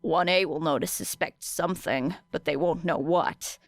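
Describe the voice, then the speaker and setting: A woman voicing a grumpy, sardonic teenage boy student with deadpan, grumbling delivery in an audiobook. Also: deep voice, moderate pace, no room sound. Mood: grim. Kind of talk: audiobook character dialogue.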